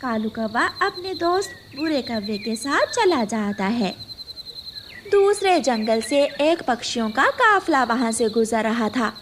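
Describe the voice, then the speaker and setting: High-voiced woman narrating, slow and lilting. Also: very animated. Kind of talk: scripted narration of children's moral story. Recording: clear background noise, nature ambience.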